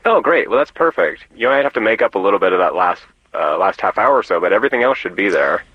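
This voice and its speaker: A man handles a customer service call, polite and slightly halting. The recording is dull and dry, with little room around him. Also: fairly low pitch, expressive, husky, no echo. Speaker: support agent.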